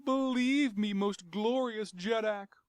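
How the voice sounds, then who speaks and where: A man's voice: high-pitched, slow, clear, resonant tone, no echo, voice pushed up. A man voicing a cringing, treacherous male courtier who whimpers and pleads in terror. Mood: pleading.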